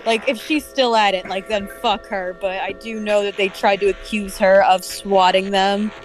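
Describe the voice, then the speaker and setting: A woman's voice: mid-range voice, steady tone, moderate pace, faint music behind, rough recording. A woman chatting casually and informally, swearing now and then. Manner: profane, informal. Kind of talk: casual chat.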